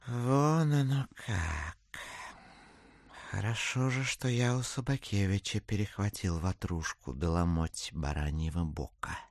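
A man playing a courteous, calculating gentleman visitor in an audio drama, speaking politely and ingratiatingly. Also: lowish voice, measured pace, no room sound, studio quality. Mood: muttering aside. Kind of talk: theatrical audio drama acting.

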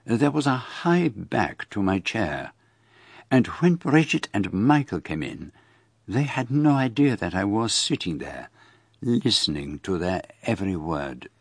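An actor playing a shrewd, courteous, self-assured foreign detective in a radio drama, with precise, formal phrasing. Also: male voice, on the low side, big pitch swings, a little slow, husky, no echo, clean studio sound, full character voice. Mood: measured narration. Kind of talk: radio drama dialogue and narration.

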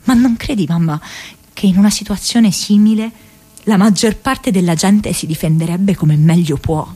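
An actress performs an earnest young woman activist in a radio monologue, with a medium-pitched voice. She speaks with distressed conviction, halting, repeating herself and breaking off words as she presses her case. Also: dark tone, breathy edge, close to an everyday voice. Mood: impassioned, pleading. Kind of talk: dramatic radio monologue from diaries and letters.

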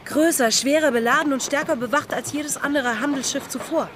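An actress playing a commanding, impatient young female pirate in an audio drama, speaking with sharp insistence. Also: high voice, a little fast, slight background noise. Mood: urgent, insistent.

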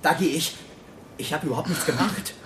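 An actor playing a volatile, crude criminal in a German radio drama, agitated and blustering. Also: male voice, fairly high pitch, fairly quick, close to an everyday voice. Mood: defensive, pleading. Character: volatile, threatening male criminal on the run, defensive and crude. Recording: faint background noise.

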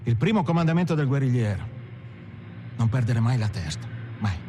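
An actor playing a militant gangster who coolly gives first-person testimony in measured, clipped, emphatic short phrases. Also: male voice, mid-range voice, sing-song, lightly acted. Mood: intent, controlled. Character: militant gangster coolly recalling armed action. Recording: faint background noise and ambience.

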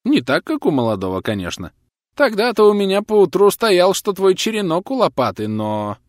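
A man's voice: sits high, huge pitch swings, normal speed, no room sound, clean studio sound, voice pushed up. A man voicing a chatty, cheeky old male patient who boasts in sly, folksy speech for an audiobook. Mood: excited, boastful.

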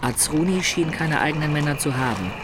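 An actor playing a loyal, observant companion who recounts a medieval campaign in measured, detailed radio drama narration. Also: male voice, fairly high pitch, expressive, very noisy, natural voice. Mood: measured storytelling.

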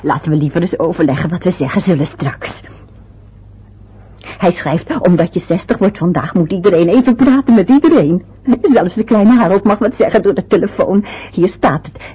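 A woman's voice: lowish voice, normal speed, mellow, husky. An actress playing a fussy, anxious older mother in a radio play, chattering and muttering to herself. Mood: fretful. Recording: dull lo-fi old radio recording.

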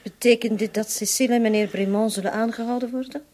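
An actress in a radio drama playing a long-suffering, resolute woman making a confession, her voice breathy and husky, her delivery halting and intense. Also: on the high side, fairly even intonation, darkish tone, lightly acted. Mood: firm, urgent.

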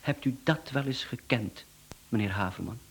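A mysterious male caller in a radio drama, with a Flemish accent and a husky voice. He is down on his luck, courteous yet evasive, and keeps insisting on being heard. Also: mid-range voice, moderate pace. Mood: pleading, evasive. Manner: polite but evasive, insistent. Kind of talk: radio play acting.

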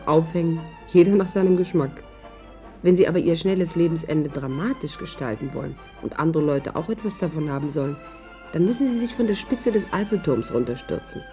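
A woman's voice: sits low, expressive, a little fast, warm tone, faint music behind. An actress playing a witty, spirited, worldly woman in a 1950s radio drama, wry and articulate as she narrates and talks. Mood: wry narration.

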